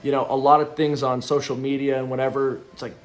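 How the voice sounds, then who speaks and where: A man's voice: medium pitch, flat delivery, fast talker, faint music behind. A man of thirty talking loosely to camera in a casual reflective vlog, rambling with plenty of ums and likes. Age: 30s. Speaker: vlogger. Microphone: camera in front of him.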